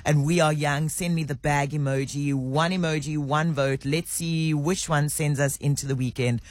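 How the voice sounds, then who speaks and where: A man's voice: fairly high pitch, fairly even intonation, moderate pace, no room sound. A man presenting on breakfast radio, upbeat and inviting listeners to vote. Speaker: radio host.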